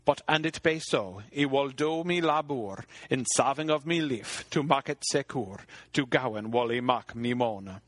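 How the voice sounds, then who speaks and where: A man reading a sorrowful medieval king, very slow. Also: mid-range voice, fairly bright tone, no echo. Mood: grave. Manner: archaic verse, grave and sorrowful.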